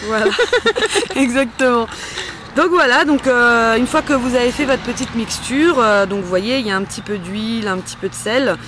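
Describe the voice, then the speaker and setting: A woman's voice: on the high side, moderate pace. Chatty woman giving a casual tutorial, noisy background. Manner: chatty, playful, informal. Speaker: host of a homemade beauty-care tutorial. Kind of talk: casual spoken step-by-step demonstration.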